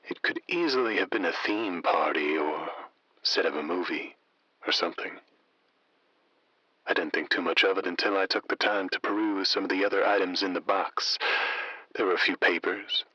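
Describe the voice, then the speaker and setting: Deep, husky late-night radio host. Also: male voice, sharp tone, no room sound, dull audio, full character voice. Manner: deliberate, intimate, conspiratorial. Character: folksy, mysterious late-night strange-tales radio host.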